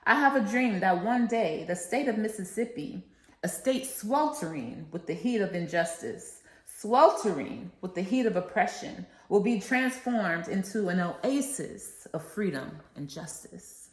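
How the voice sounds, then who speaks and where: A woman's voice: medium pitch, expressive, unhurried. A woman reading aloud and explaining for children, as an educator leading a read-along. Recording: echoey, reverberant room sound.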